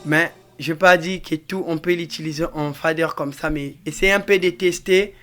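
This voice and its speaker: A man with a Congolese French accent giving an informal music mixing tutorial in a halting, repetitive way, addressing viewers directly. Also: on the high side, steady tone. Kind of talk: informal tutorial with on-the-spot demonstration.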